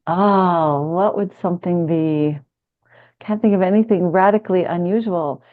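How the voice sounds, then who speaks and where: A woman with a notably low voice talks as a podcast guest in an earnest, affirming way. Her speech is conversational spiritual guidance, heard through a thin, band-limited connection. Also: measured pace, narrow, tinny audio. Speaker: spiritual guide working with clients.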